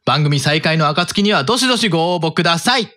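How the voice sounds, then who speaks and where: A man's voice: fairly high pitch, expressive, brisk, pushed and bright. A man in his thirties co-hosting a podcast, giving a review and reading out a quiz. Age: 30s. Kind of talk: casual podcast chat.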